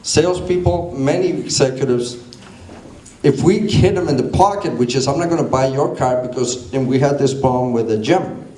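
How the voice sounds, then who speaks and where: A man's voice: mid-range voice, normal speed, a little mellow. Man, chamber executive on a panel, blunt and emphatic, recorded distantly in a reverberant room with faint noise. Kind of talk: panel talk. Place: conference hall. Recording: echoey hall from far off.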